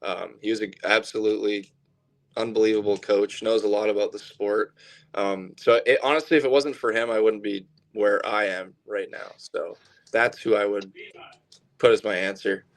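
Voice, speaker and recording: A man's voice: on the low side, steady tone, moderate pace. A man, answering casually and reflectively as an athlete in an interview. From southern Alberta, Canada. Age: 20s. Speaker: baseball pitcher being interviewed.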